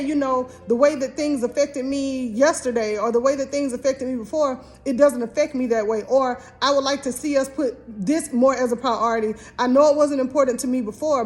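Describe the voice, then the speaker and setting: High-voiced American woman in her fifties. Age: 50s. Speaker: co-host giving marriage advice. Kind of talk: casual motivational relationship talk.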